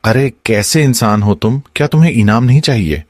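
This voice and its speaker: Man playing a brisk, mid-voiced commanding prince. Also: medium pitch, no echo, studio quality, faint music behind, natural voice. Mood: incredulous. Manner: brisk, questioning. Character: young male prince, commanding and incredulous. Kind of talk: Hindi cartoon moral story dialogue.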